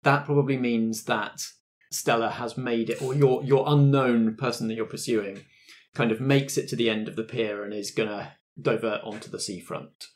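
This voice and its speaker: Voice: medium pitch, steady tone, moderate pace, a little mellow. A game master narrating and refereeing a tabletop roleplaying game in a colloquial, encouraging way.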